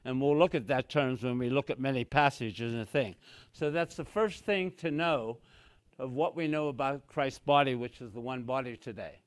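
A man's voice: medium pitch, normal speed, no room sound. Older man teaching Scripture, emphatic. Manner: emphatic, repeats "so very clearly", gestures with both hands. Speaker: Bible teacher. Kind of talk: expository Bible teaching. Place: small church room with whiteboard, indoors. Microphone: podium mic, walks away from it.

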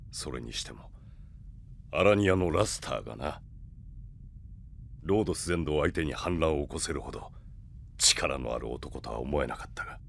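A man's voice: very deep voice, gravelly, slight background noise, heavily acted. An actor playing a grave, authoritative warrior king, a mature man, in an anime audio drama.

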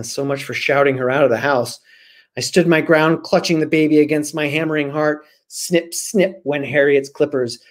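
Children's author, male, 40s, American, theatrical and animated, heard over a home video call. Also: fairly high pitch. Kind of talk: dramatic storybook reading and casual chat. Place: small room at home. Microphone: laptop mic on video call.

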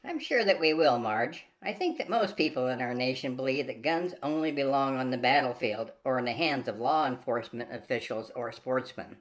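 A man's voice: mid-range voice, moderate pace, hardly any pauses, fairly bright tone, lightly acted. A man playing a concerned, trusting 1940s American husband in an old-time radio drama. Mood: reassuring.

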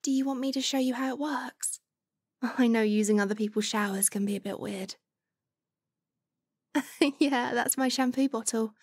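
A woman playing a doting, teasing motherly figure in a soft-spoken ASMR roleplay, coaxing and playful with breathy near-whispers. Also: fairly high pitch, fairly even intonation, normal speed, pauses often, no echo, clean studio sound.

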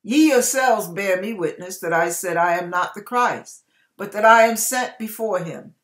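An older woman in about her sixties gives a dramatic Bible reading as a preacher, emphatic and deliberate, stretching words and pausing between phrases. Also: mid-range voice. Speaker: online preacher reading scripture aloud. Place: small indoor room with curtain behind.

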